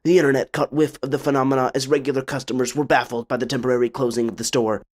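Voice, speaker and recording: A man's voice: medium pitch, flat delivery, very fast. A man narrating a scripted tale for a puppet show in a theatrical, solemn, literary manner.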